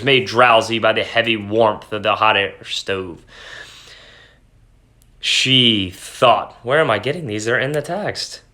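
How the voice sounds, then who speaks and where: A man's voice: medium pitch, pushed and bright. A man speaking as a teacher, in an informal, explanatory lesson style with dramatic read-aloud of a story. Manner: explanatory, breaks off reading to paraphrase in plain words.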